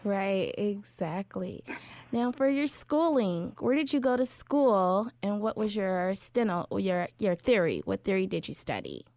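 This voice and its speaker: A woman's voice: medium pitch, lively intonation, a little slow, darkish tone, dry recording. A woman from California hosting an interview podcast, asking friendly, enthusiastic questions with plenty of fillers. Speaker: podcast host.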